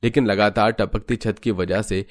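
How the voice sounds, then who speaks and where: A man narrating an animated moral story in a scripted storytelling style. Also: lowish voice, normal speed, dry recording.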